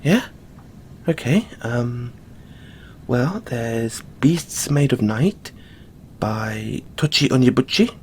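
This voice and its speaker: Man playing a slow-spoken, shy owl boy librarian. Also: mid-range voice, lively intonation, slight background noise. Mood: polite, helpful. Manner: soft-spoken, hesitant, gently bashful. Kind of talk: audio roleplay addressed to the listener.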